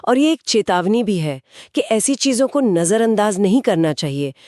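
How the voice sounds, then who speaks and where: A woman co-hosting a horror story show, giving conversational commentary with expressive questions and reflective remarks. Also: medium pitch, moderate pace, dry recording, studio quality. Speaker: co-host reacting to stories.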